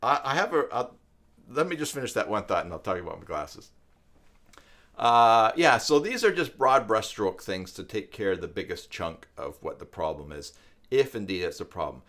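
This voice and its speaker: A man, chatting casually as a live stream host in a halting, rambling way. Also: lowish voice, sing-song, a bit bright. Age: 50s. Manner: rambling, halting, conversational, thinking aloud. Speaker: live stream host, web tutorial presenter.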